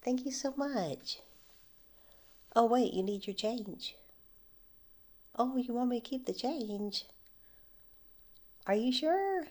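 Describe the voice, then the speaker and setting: A woman in an ASMR roleplay as a friendly flea market vendor, speaking softly, often slipping into whispers, with light frequent laughs. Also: fairly high pitch, expressive, takes it slowly, long pauses.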